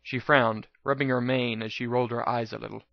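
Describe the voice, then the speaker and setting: A man narrates a first-person story as a dramatic reading. His voice has a middle pitch, and the recording is very dry and slightly dull. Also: level tone, fairly quick, creaky, no echo. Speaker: narrator, reader.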